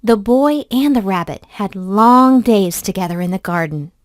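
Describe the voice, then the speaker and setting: A woman reading a children's story aloud in an expressive, deliberate storybook manner. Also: medium pitch, no room sound, studio quality.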